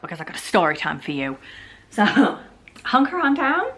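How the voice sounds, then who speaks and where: A woman's voice: medium pitch, huge pitch swings, moderate pace, bright, forceful tone. A young woman with a slightly sore, scratchy throat, chatting through a storytime vlog at home with lots of "like"s and jokes. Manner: chatty, jokey, full of filler "like"s. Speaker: vlogger. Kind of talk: casual storytime chat.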